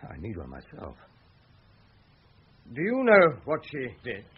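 Earnest, obsessive writer, radio play, lively intonation, lo-fi recording. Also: male voice, medium pitch, unhurried, breathy edge, full character voice. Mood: excited, unsettled. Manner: earnest, insistent. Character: obsessive, insistent male researcher and writer, Walter. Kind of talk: radio drama acting. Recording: dull lo-fi old radio recording, faint noise.

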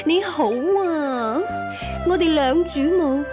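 A woman's voice: sits high, takes it slowly, over loud music. A woman acting in a radio drama as an outspoken, aggrieved young maidservant. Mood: reproachful.

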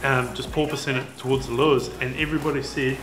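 A young man with a New Zealand accent, chatting casually about a fishing trip, relaxed and full of fillers. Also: medium pitch, quick pace. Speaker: fisherman. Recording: roomy sound with music behind.

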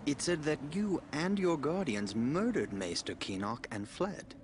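An actor playing a calm, guarded but kindly older priest in a video game, with measured delivery. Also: male voice, on the high side, background noise. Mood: grave. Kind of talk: video game cutscene acting.

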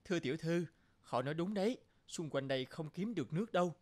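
A man's voice: on the high side, expressive, fast, darkish tone. A man voicing a kind young peasant in a fairy-tale cartoon, courteous and earnest. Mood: gentle, helpful.

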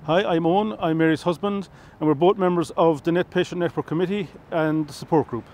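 A man's voice: fairly high pitch, steady tone, brisk, a little mellow, a little hiss or hum. A man introducing himself and his wife's care, speaking plainly outdoors on a lawn. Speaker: patient's husband. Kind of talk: scripted introduction.

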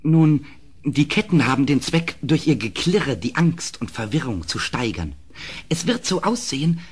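Husky, sly dwarf jester. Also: male voice, medium pitch. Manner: obsequious, sly, theatrically courteous. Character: cunning dwarf court jester, servile yet scheming. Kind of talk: vintage German radio play acting.

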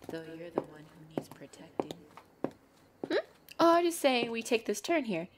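A woman's voice: on the high side, noisy background. A woman voicing a flirtatious, teasing female vampire in an intimate ASMR roleplay, playful and giggly with a hungry undertone. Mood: cheerful, welcoming. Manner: playful, giggly, slow and coy, dropping into breathy whispers. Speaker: roleplay voice performer. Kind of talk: ASMR roleplay, intimate one-sided dialogue.

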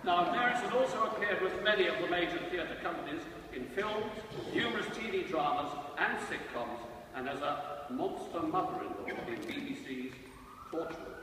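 A man's voice: fairly high pitch, expressive, moderate pace. Male presenter in an echoey church. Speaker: presenter introducing a performer. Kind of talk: formal stage introduction. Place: large church, live concert. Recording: echoey church, distant, noisy.

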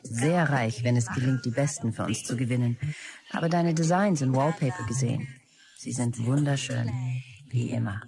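A woman playing a brisk, businesslike start-up executive dictating messages in a German audio drama. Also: a bass voice. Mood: smooth, flattering.

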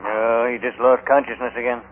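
An actor playing a matter-of-fact small-town frontier doctor in an old radio Western. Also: male voice, medium pitch, flat delivery, a little fast, a little hiss or hum, natural voice. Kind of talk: 1950s radio Western acting. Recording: tinny old radio recording.

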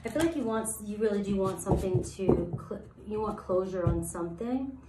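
A woman in her thirties with a slightly raspy voice, giving a casual tarot reading and rambling through her thoughts with many fillers. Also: mid-range voice, a little fast, loud music behind the voice. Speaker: tarot reader. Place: sparse room, indoors, seated at floor level. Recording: echoey empty room.

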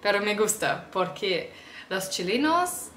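A young woman, a native German speaker, chatting casually to camera at home as a vlogger, speaking haltingly with restarts as she learns the language. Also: medium pitch, big pitch swings, a bit bright, roomy sound, slight background noise. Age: young adult. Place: small indoor room at home.

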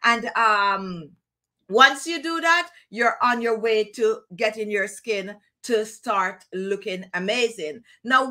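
A middle-aged woman from Jamaica with a Jamaican accent, chatting to camera in a relaxed, digressive skincare tutorial, laughing now and then. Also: on the high side. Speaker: skincare vlogger. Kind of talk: casual tutorial talk to camera. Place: indoor room with plants and screen.

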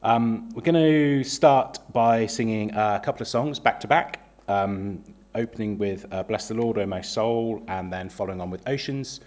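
A man in his thirties with a slightly croaky voice leads a church service, welcoming people and praying in a gentle, hesitant way with frequent ums, speaking into a stand microphone in a small church. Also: fairly low pitch, moderate pace, few pauses. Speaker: lay worship leader. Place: small church sanctuary, indoors. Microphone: stand microphone at a lectern.

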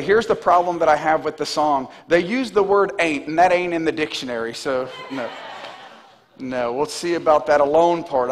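Man, thirties, pastor, lively stage sermon, high voice, reverberant church hall. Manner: animated preaching, walking the stage. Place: large church stage, indoors.

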